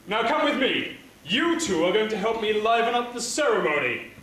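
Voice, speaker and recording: A man's voice: high voice, very animated, normal speed. A man playing a scheming, mock-polite pantomime villain boss in a declamatory, taunting stage style. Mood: gleeful menace. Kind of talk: theatrical pantomime stage acting. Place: theatre or hall stage, live performance. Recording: echoey hall stage recording, faint noise.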